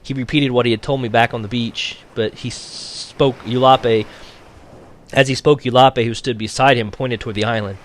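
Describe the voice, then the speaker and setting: A man reading a novel aloud as a teacher would for his class, in an expressive audiobook style. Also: mid-range voice, moderate pace, slight background noise.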